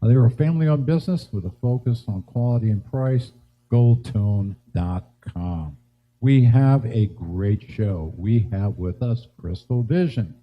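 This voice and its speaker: Guy hosting a music show, kinda slow talker, muffled recording, casual intro. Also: medium pitch, slight background noise. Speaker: host and musician. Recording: dull sound with music behind.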